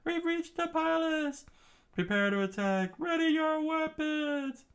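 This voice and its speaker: Charging rebel, screechy falsetto battle cries, played by a man. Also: expressive, slow, heavily acted. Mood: fierce. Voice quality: strained high falsetto. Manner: battle shouts. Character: furious charging male rebel fighter.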